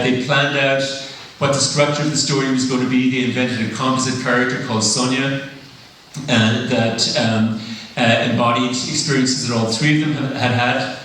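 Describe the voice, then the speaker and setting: A man lectures to a conference audience, working through his points with frequent pauses and fillers. His voice sits at an ordinary pitch. It rings around a big echoey hall and is picked up from a distance, with a faint hiss underneath. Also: fairly bright tone. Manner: thoughtful, many uhs and ums. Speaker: plenary speaker. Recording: echoey hall, mic heard from afar.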